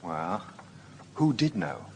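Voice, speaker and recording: A police inspector from a radio crime drama. His voice is low and polite, probing with care, over faint background noise. He sounds measured and methodical. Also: big pitch swings, darkish tone, exaggerated voice. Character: methodical, courteous male police inspector narrating his case. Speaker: narrator and lead detective. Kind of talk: radio drama narration and dialogue.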